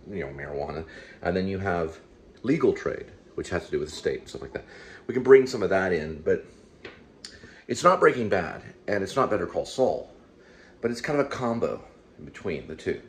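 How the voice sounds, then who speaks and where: Low voiced guy rambling thru his ideas, kinda hesitant, repeats himself, some background noise. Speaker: YouTube show host and amateur writer. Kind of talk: casual rambling solo commentary. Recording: steady background room noise.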